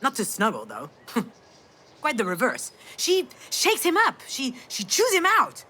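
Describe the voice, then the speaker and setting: A woman playing a sardonic, self-blaming yet tender mother in a dramatic video game, frank and emotionally expressive. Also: high voice, measured pace, breathy edge, exaggerated voice. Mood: wry enthusiasm. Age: adult.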